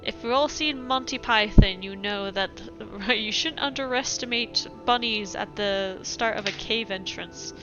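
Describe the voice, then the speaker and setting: A woman's voice: mid-range voice, measured pace, hardly any pauses, muffled recording. Giggly woman streamer, mixed European accent. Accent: mixed European English. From British, raised elsewhere in Europe. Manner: chatty, giggly, many fillers. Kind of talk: casual gaming chat and reading game letters aloud. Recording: music playing behind.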